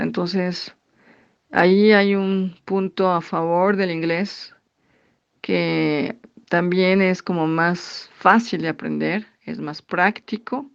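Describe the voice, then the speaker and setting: A Mexican woman, an English teacher, answering interview questions in a thoughtful, halting way with many fillers. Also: on the low side, gravelly. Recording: dull lo-fi recording.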